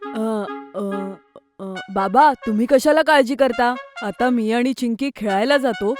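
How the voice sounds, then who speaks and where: Woman as a nervous, hesitant little schoolboy, high voice. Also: a little slow, music in the background, close to an everyday voice. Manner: hesitant, stammering fillers. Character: young schoolboy, nervous, easily distracted. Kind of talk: children's cartoon story dialogue.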